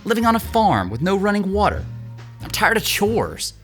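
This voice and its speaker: A man's voice: high-pitched, wildly swooping pitch, moderate pace, a bit bright, soft background music, full character voice. A man playing a cocky, whiny, entitled young rich son in an audio drama, sarcastic and theatrical. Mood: sarcastic griping. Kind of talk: scripted audio drama acting.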